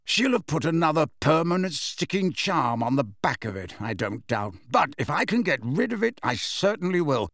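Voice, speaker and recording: A man's voice: a little high, sing-song, normal speed, hardly any pauses, no echo, voice pushed up. A man voicing a proud grown man who speaks coldly and impatiently, raised a bit above his own voice. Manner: sharp, cold and impatient. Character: proud cold adult man, impatient and curt.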